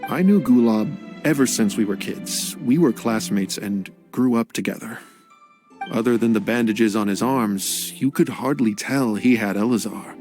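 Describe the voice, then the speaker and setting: An actor playing a gentle male scholar and game creator in a game cutscene, soft-spoken and reflective. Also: lowish voice, moderate pace, soft background music, natural voice.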